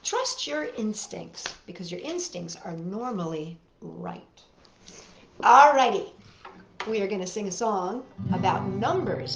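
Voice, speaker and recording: A woman hosting a children's storytime, telling and explaining things simply and expressively to young listeners. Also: mid-range voice. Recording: roomy echo, slightly dull sound.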